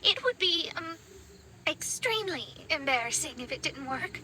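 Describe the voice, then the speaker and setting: High-voiced, bashful young lady. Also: animated, normal speed, background noise. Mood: flustered, shy. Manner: hesitant, stammering, shy. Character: bashful, easily flustered young woman astrologer. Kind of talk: anime video game voice acting.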